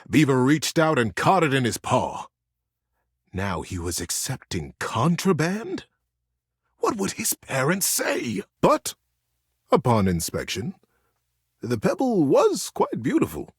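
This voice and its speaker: A man narrating a scripted children's story in a theatrical, expressive manner. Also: medium pitch, breathy edge, no echo, clean studio sound.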